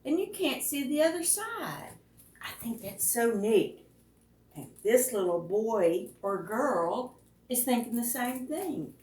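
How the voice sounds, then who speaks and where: Expressive woman reading to preschoolers, roomy sound. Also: on the high side, slow. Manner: expressive, addresses young listeners with questions. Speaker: children's librarian reading at storytime. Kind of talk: picture-book read-aloud for preschoolers. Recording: roomy echo, distant microphone.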